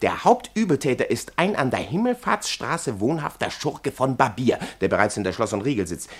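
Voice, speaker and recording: A man's voice: mid-range voice, very animated, normal speed, breathy edge. A man playing a chatty, obsequious police officer in a radio play, rambling and digressing in a servile way. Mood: rambling, confiding. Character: chatty, obsequious, near-sighted male police officer angling for money.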